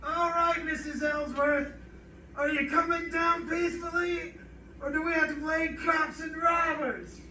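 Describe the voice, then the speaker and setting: A roomy, distant recording of a man acting a tough gumshoe cop. He calls out slowly in a very high, sardonic, commanding voice for a radio crime drama. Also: flat delivery. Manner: calling out loudly, deliberate. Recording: roomy distant stage recording, faint noise.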